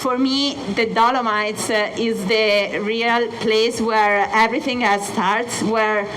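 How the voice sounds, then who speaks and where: A young Italian woman with an Italian accent, presenting as a mountain guide and pausing often with filler sounds. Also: fairly high pitch, fairly even intonation, fairly bright tone, slight background noise, rough recording. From Italy. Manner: many filler sounds and pauses. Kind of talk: hesitant presentation talk. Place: hotel event space.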